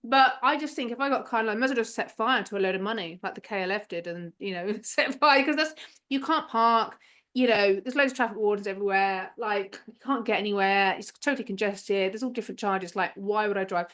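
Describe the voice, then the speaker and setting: A woman, a hypnotherapist chatting as a podcast guest, laughing often and trailing off into softer asides. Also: mid-range voice, a little fast. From England. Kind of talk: casual interview chat.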